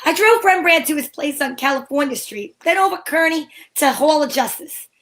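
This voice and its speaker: A breezy, flirtatious lady detective acted by a woman with a high voice. She wisecracks archly in the style of a 1940s radio mystery, moving between narration and banter. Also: expressive, moderate pace, fairly bright tone. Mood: wry narration. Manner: arch, wisecracking, endearments. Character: sassy female private eye, flirtatious and breezy.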